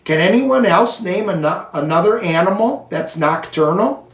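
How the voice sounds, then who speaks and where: A man's voice: high-pitched, lively intonation, normal speed, fairly bright tone. A man voicing a stern, unsmiling older woman schoolteacher from a children's storybook. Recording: echoey room, distant microphone.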